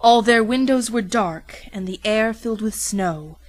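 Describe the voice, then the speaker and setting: Unhurried woman narrating a storybook parody. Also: fairly high pitch. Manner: dramatic, playful, drawn-out emphasis on repeated words.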